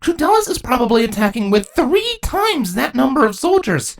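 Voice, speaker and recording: A woman's voice: sits high, sing-song, normal speed, voice pushed up, heavily acted. A woman voicing an earnest young changeling princess in a fantasy story, anxious and urgent. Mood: worried, urgent.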